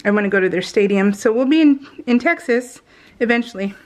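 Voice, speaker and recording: A woman's voice: a little high, animated, a little fast, a little mellow. A woman chatting casually and ramblingly to her viewers as a craft video host, in a giggly, self-deprecating manner. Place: indoors at home, voice-over recorded while crafting.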